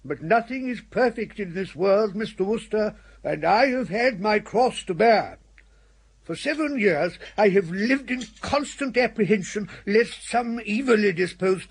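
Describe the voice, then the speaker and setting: An actor playing a courtly, earnest older upper-class English uncle, pompous and effusively formal, in a radio comedy. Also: male voice, high-pitched, gravelly, husky, exaggerated voice. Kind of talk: radio comedy drama acting.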